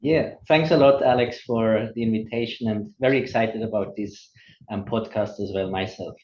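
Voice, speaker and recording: Swiss-accented man, hesitant and halting. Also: on the low side, a little mellow, dull audio. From Switzerland. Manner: hesitant, many ums and uhs. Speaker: guest. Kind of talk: podcast interview.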